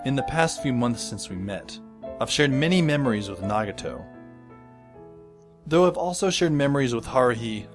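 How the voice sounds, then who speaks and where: A man's voice: medium pitch, natural voice. Man playing a wry teenage boy narrator over music. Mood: wistful, wry. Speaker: narrator and lead character. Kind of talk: first-person audio drama narration. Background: music under the voice.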